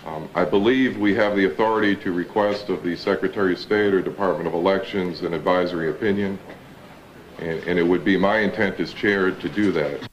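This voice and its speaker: A man speaks as chair of a meeting in a low voice, formal and halting. The recording is dull, with faint noise. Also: steady tone. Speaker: election board chair.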